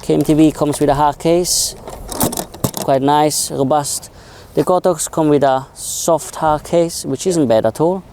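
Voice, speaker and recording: A man's voice: fairly high pitch, a little slow, warm tone, slight background noise. A man giving a casual, informal technical explanation as a co-reviewer of film gear.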